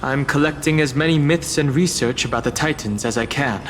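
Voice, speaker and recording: A man's voice: medium pitch, a little fast, slight background noise, lightly acted. A man playing a reserved young traveller, reverberant. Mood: matter-of-fact. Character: reserved, serious young male traveller and researcher. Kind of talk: video game dialogue. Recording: reverberant sound with music behind.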